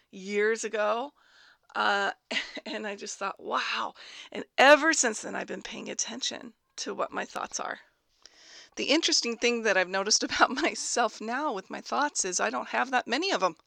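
A woman life coach giving a casual motivational talk, slightly hoarse from a cold, upbeat and chatty, laughing often as she speaks. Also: mid-range voice, pushed and bright, no echo. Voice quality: raspy.

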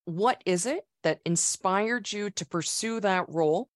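A woman's voice: mid-range voice, unhurried, no echo. Encouraging woman host, dry close sound. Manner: warm and encouraging, complimenting the guest. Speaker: podcast host. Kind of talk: interview.

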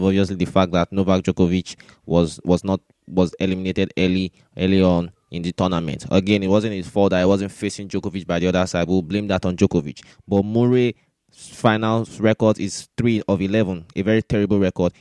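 Guy hosting a sports talk show, lowish voice, dry sound, opinionated and argues his points. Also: steady tone, a little fast, a little mellow, no room sound. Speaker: sports podcast host. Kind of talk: sports commentary and discussion.

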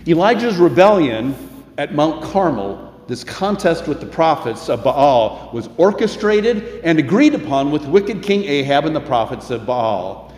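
An older man preaching a sermon in measured tones, slowing down for emphasis, in a church sanctuary. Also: fairly high pitch, very animated, a little mellow. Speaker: pastor. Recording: echoey hall, faint room noise.

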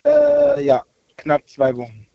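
A man's voice: fairly high pitch, wildly swooping pitch, slow, vocal fry, dry recording. A man in his twenties, originally from Turkey, calling in to a radio show, answering briefly and hesitating with fillers. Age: 20s. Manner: short agreeing replies, hesitant with fillers. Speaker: caller. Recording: dull phone line.

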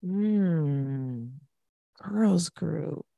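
A woman's voice: on the low side, lively intonation, very slow, gravelly, warm tone, no echo, clean studio sound. A young adult woman co-hosting a radio show, chatting casually about music in an emphatic, sassy, colloquial and opinionated way.

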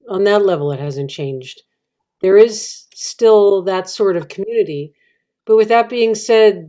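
An actor playing a female small-town resident in a readers theatre monologue, brisk and matter-of-fact. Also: mid-range voice, dark tone, lightly acted.

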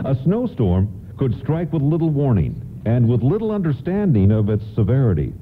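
A man's voice: medium pitch, very animated, normal speed, slight background noise, natural voice. A man performing as a storytelling narrator and announcer in a scripted radio drama. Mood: measured, storytelling. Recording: narrow phone-like radio sound.

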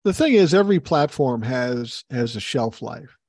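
A man's voice: mid-range voice, expressive, normal speed, darkish tone, slightly rough, no echo, studio quality. Blunt, profane man in his sixties. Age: 60s. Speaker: podcast guest. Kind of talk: casual chat over a video call. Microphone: video call.

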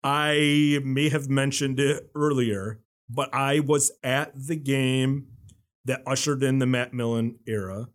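A man in his forties chatting as a studio guest, loud and animated, sitting back from the microphone. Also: mid-range voice, slow. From Metro Detroit area. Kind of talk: casual chat. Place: radio studio. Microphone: sitting far back from the studio mic.

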